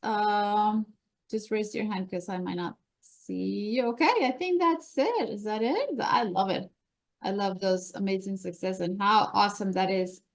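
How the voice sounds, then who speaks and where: A woman with a Colombian accent runs through meeting announcements in an upbeat, chatty way. Her voice sits at a middle pitch. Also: unhurried. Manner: enthusiastic, chatty presenter. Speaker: meeting host.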